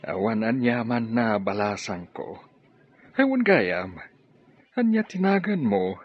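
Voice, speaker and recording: A man playing a kindly older retired professor and bachelor uncle in a radio drama, with a gentle, expressive delivery. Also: lowish voice, takes it slowly, breathy edge, heavily acted. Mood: concerned, kind. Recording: dull lo-fi audio, crowd murmur.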